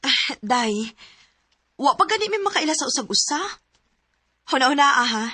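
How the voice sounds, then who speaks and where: A woman's voice: high-pitched, sing-song, moderate pace, bright, forceful tone, no echo, clean studio sound, heavily acted. An actress playing a hesitant, heartbroken young woman in a radio drama, with a Cebuano Filipino accent. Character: heartbroken young woman telling her love story, pleading, hesitant.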